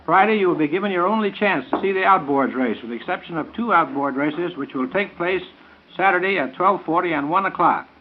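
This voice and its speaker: A man's voice: sits high, animated, fairly quick. An American man, a guest on 1930s radio, reading a scripted announcement about an event. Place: radio studio. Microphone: vintage studio broadcast microphone. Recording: tinny, faintly noisy 1930s radio broadcast.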